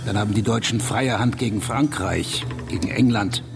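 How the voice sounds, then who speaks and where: Gravelly, declamatory grim pious patriot. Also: male voice, on the low side, normal speed, music in the background. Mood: accusing. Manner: declamatory, emphatic. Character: grim anti-revolutionary Russian man, pious, ominous debater. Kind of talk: German radio drama acting.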